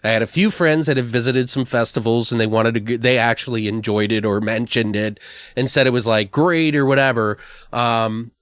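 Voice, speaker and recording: A man's voice: medium pitch, fairly even intonation, normal speed, a bit bright, clear, resonant tone, no room sound, studio quality. A man co-hosting a podcast, chatting casually through entertainment news in an informal, slangy, sweary way. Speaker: podcast co-host. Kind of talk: casual chat about horror film news.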